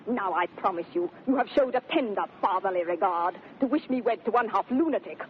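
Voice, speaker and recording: An actress playing a scornful, sharp-tongued young woman in 1930s radio Shakespeare, haughty and theatrical. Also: mid-range voice, lively intonation, fairly quick, natural voice. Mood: indignant. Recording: dull, noisy 1930s radio recording.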